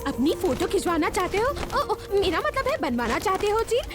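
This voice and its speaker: A woman voicing an excitable, gossipy female bird messenger in a children's cartoon. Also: very high voice, moderate pace. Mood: excited. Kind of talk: Hindi children's cartoon dub. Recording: loud music behind the voice.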